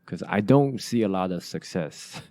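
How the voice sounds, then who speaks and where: Low-voiced halting man. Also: expressive, a little mellow, no echo. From Asia. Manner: halting, many restarts and fillers. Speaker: podcast host. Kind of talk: casual conversation.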